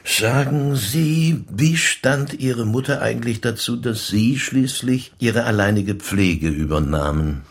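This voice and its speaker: Probing police inspector, lively intonation. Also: male voice, mid-range voice, takes it slowly, few pauses. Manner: formal, pointed questioning. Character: male police inspector, probing, dogged, suspicious. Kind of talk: German radio crime drama acting.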